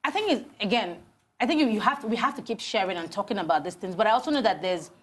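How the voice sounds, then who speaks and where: Fast-talking Nigerian woman in her forties. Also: medium pitch. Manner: animated storytelling, many restarts. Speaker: guest, HR consultant. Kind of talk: TV interview conversation.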